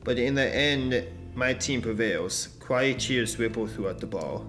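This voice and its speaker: A man's voice: medium pitch, a little slow, fairly bright tone. A young man narrating a dating-sim playthrough with friends, reading the game text aloud and laughing often. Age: young adult. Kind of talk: casual let's-play narration. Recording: light background music.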